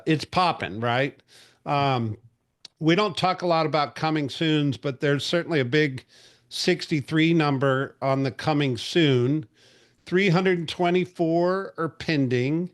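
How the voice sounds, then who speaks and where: A man in his forties hosting a real estate industry call, talking casually with lots of fillers and restarts. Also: on the high side. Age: 40s. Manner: chatty, rambling, frequent fillers and restarts. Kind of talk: casual market update briefing.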